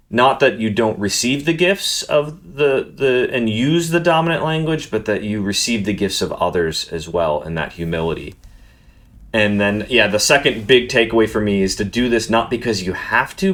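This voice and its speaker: Man, lowish voice, earnest, thoughtful, unscripted book review, restarts words. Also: moderate pace, a bit bright.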